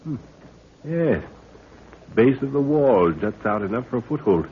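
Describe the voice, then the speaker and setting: An actor playing a steady, authoritative middle-aged expedition leader and father in a 1930s radio adventure, speaking formally. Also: mid-range voice, unhurried. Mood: firm, composed. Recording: tinny, faintly hissy old radio recording.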